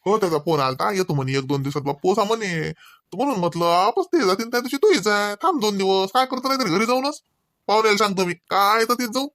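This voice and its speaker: A man's voice: high-pitched, animated, fast talker, a bit bright, no echo, studio quality. A man playing a hospitable, persuasive village householder in a rural comedy cartoon, casual and coaxing, with a Varhadi Marathi accent. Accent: Varhadi Marathi, Vidarbha.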